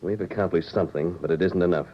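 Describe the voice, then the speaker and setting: Earnest cowboy hero, authoritative, deliberate, old-time radio western, dull band-limited sound. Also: male voice, sits low. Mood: grave, determined. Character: resolute masked cowboy hero, commanding and earnest. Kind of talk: 1940s radio drama acting. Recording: muffled old 1940s radio recording.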